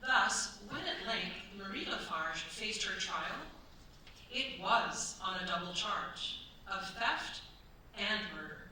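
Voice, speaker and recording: A dramatic female storyteller narrates a period crime radio play, acted by a woman on stage in formal, weighty phrases. Her bright, forceful voice echoes strongly around a hall. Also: medium pitch, animated, takes it slowly, full character voice. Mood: grave, dramatic. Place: staged performance in a cultural centre. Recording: echoey hall, distant mic, stage noise.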